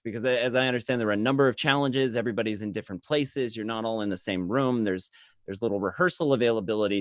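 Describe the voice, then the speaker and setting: A man's voice: mid-range voice, no room sound, clean studio sound. A man hosting a cast question-and-answer panel on a video call, speaking conversationally and courteously. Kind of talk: conversational interviewing. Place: indoors on a group video call.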